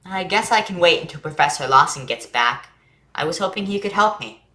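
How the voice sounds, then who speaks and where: A bright, forward voice: a woman playing a young schoolboy in a drama reading. He is curious and earnest, talking in an eager, informal, questioning way, a little low for a woman. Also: animated, brisk. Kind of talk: staged reading of family drama.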